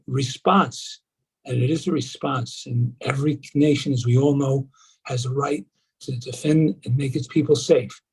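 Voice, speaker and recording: A man delivers a briefing over a video call in a mellow, slightly husky voice. He speaks earnestly and reflectively, with sentences that trail and restart. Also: mid-range voice. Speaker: nonprofit board chair giving an update. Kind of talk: live video briefing remarks.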